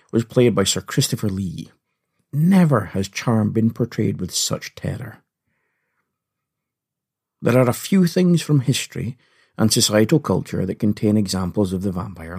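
Husky, theatrical man hosting a podcast. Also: on the low side, darkish tone. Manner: theatrical, punning, playful. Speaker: podcast host. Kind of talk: scripted comedic narration. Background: faint music.

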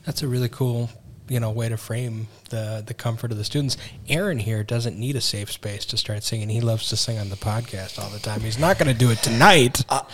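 A man's voice: medium pitch, a little fast, fairly bright tone. Man co-hosting, teasing his partner. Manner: teasing, joking about the other host. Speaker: podcast co-host. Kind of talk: casual chat.

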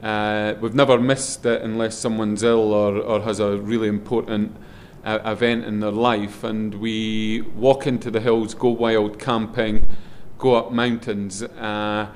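A middle-aged man with a loud voice, a guest in a relaxed interview, rambling a little with frequent ums and restarts as he talks. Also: on the low side, unhurried, hardly any pauses, slight background noise. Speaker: guest, head of a schools group.

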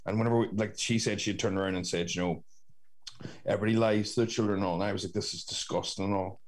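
A man with a Northern Irish accent in casual podcast chat, telling anecdotes in an animated, sweary way. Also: on the low side, rapid-fire. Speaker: podcast host.

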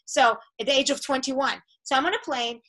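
A woman's voice: high voice, brisk. A middle-aged woman from Israel whose first language is Hebrew, hosting an interview and telling her own stories expressively. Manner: animated, expressive storyteller. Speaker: host. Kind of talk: conversational interview.

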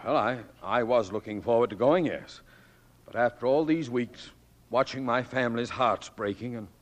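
A middle-aged man playing a blustering, stubborn but soft-hearted father in a noisy 1940s radio drama recording. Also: mid-range voice, moderate pace, lightly acted. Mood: reflective. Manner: blustery, commanding.

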